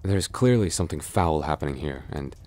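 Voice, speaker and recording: A man playing a cursed, lovelorn young prince in a roleplay audio drama, halting and formal in his speech. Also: a bass voice, lively intonation, darkish tone. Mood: startled, puzzled. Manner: halting, breathy sighs and gasps, formal archaic phrasing.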